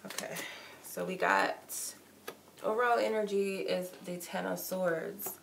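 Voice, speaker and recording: Stuffy-nosed woman with a gravelly voice. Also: lowish voice, sing-song, measured pace, a bit bright. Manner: chatty and loose, many likes and ums. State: stuffy nose from a cold. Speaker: tarot reader. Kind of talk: live-streamed tarot reading, casual chat. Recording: echoey room with noise.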